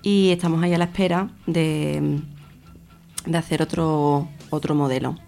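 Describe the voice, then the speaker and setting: Female guest, accent from Spain, creaky voice, fairly even delivery, colloquial radio chat. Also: deep voice, moderate pace, soft background music. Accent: Spanish from Spain. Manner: informal, colloquial. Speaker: interview guest, feminist festival organiser. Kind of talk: casual radio interview answers.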